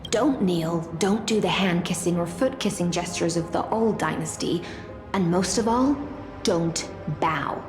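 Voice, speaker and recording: An actress playing an aloof, poised female knight of the deep sea in a video game, speaking in a measured, formal, coolly wry way. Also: lightly acted. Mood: composed, commanding. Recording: echoey voice over background music.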